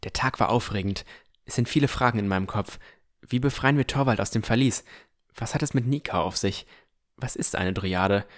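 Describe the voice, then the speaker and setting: A man's voice: on the low side, normal speed. A man reading scripted first-person narration as the narrator of a fantasy audio drama.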